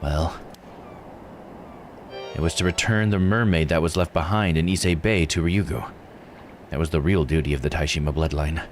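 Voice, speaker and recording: A man voicing a weary, regretful woman diver in a visual novel, measured and confiding. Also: extremely low pitch. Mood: grave, confiding. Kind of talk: reading visual novel dialogue aloud. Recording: music under the voice. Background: game music.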